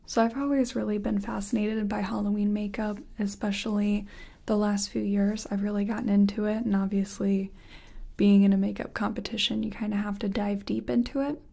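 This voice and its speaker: A woman playing a gentle, chatty makeup competition contestant in a soft-spoken, whispery ASMR personal attention role-play. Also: medium pitch, flat delivery. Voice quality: breathy, whispery. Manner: gentle, unhurried, chatty, reassuring. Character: friendly, self-taught makeup competition contestant.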